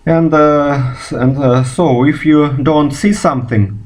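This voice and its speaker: Halting Russian-accented man. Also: fairly high pitch, a little mellow. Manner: halting, many "uh" fillers and restarts. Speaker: physicist. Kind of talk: unscripted video response.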